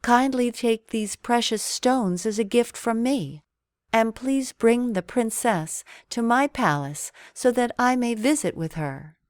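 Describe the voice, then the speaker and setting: Woman as young storybook boy, raised pitch, eager, earnest, small and poor dreamer. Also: expressive, takes it slowly, darkish tone, dry recording, clean studio sound. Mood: earnest, bold.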